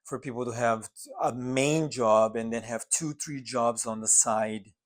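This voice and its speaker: A man's voice: mid-range voice, a little slow. Guy cohosting a chill podcast, medium voice, earnest and thoughtful. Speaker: podcast co-host. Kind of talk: casual chat. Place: apartment living room.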